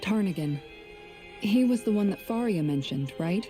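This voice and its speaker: A woman's voice: medium pitch, lively intonation, normal speed, dark tone, faint music behind, close to an everyday voice. Earnest young heroine voiced by a woman. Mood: curious. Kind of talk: video game voice acting.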